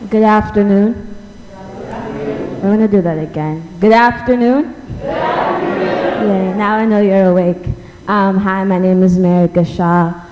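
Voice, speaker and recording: A woman's voice: mid-range voice, steady tone, a little slow, pauses often, lo-fi sound, background noise. A woman activist telling her personal story to a public audience as a panel speaker. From Cambridge, United States, born in Ethiopia. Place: indoor public library event hall. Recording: reverberant hall.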